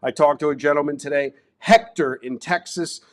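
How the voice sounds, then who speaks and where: A man's voice: on the high side, moderate pace. A man with a Baltimore accent hosting a casual sports betting talk show, chatty and promotional. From Baltimore, Maryland. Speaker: show host.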